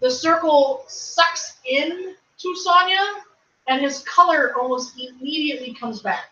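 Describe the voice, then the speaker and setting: Woman GM, high and bright, echoey room heard through a distant lo-fi mic. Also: a little slow. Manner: casual, swears, lively storytelling. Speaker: game master. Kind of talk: narrating a tabletop game.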